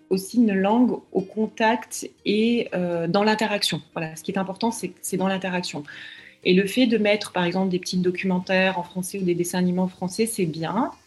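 A woman's voice: mid-range voice, a little mellow. A woman speaking as a child language expert, explaining with earnest, patient advice and frequent hesitations. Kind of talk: advice interview.

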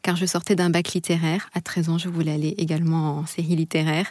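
A young woman from Bordeaux with a lowish voice tells her story eagerly, warming to each point and pausing now and then to find a word. The sound is close and dry, with no room around it. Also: no room sound. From Bordeaux, France. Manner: enthusiastic, expressive storytelling with some hesitations. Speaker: guest. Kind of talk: radio interview answers.

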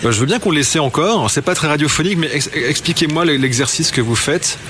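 A man's voice: a little high, lively intonation, fast. A man hosting a radio interview in a conversational style. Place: outdoor hotel garden in a big city. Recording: clear ambient noise, traffic and construction works.

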